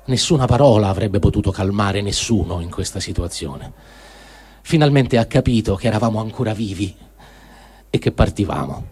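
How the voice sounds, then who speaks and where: A man reads a first-person diary in speech, in measured, deliberate phrases, as a dramatic radio reading. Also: fairly low pitch, animated, a little mellow, slightly rough. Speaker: reader of written testimony on radio.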